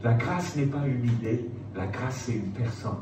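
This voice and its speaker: A man preaching an impassioned Bible sermon, emphatic and repetitive, with rhetorical questions, swinging from hushed phrases to loud ones. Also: medium pitch, unhurried. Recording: roomy, distant mic, clear background noise.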